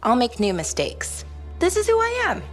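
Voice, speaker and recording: An actress playing an eager, headstrong young woman out for adventure in a video game, lively and breezy. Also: a little high, normal speed, faint music behind. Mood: eager, defiant. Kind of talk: dramatic video game cutscene acting.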